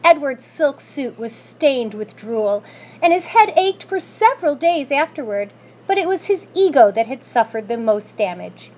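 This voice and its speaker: A woman reading a children's story aloud in an expressive, scripted narrating style. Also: on the high side. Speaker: school staff member reading aloud.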